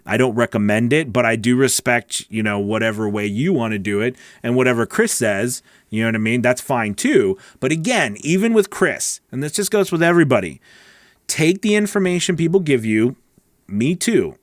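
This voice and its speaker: A man's voice: mid-range voice, lively intonation, normal speed, no room sound. A middle-aged man chatting casually and directly as a livestream host and coach, answering questions on a close studio mic. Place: small home recording studio. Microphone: close broadcast mic on boom arm.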